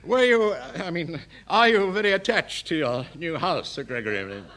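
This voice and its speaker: An actor playing a flustered, smooth-talking senior English civil servant in a British radio sitcom, blustering and hesitant. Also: male voice, high voice, lively intonation, moderate pace, bright, forceful tone. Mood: nervously ingratiating.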